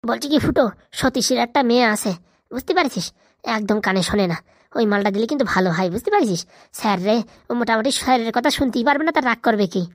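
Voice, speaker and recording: A woman plays an obliging, loyal village helper in a comic cartoon. The high voice races along, eager to please, in dialect, recorded dry. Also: lively intonation, fast, dry recording. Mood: conspiring. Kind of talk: comic Bengali cartoon dialogue.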